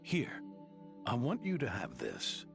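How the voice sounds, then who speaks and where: A man playing a gentle, thoughtful scholar and mentor in a video game, patient and philosophical. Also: mid-range voice, wildly swooping pitch, slow pace, gravelly, over music, heavily acted. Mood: gentle, reflective. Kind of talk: video game character acting.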